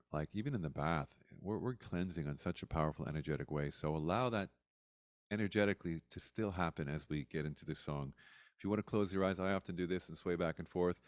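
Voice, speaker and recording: A man with a low, smooth voice casually guiding a group singing session in an easygoing, encouraging way. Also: a little mellow, no room sound, clean studio sound. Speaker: singing circle leader.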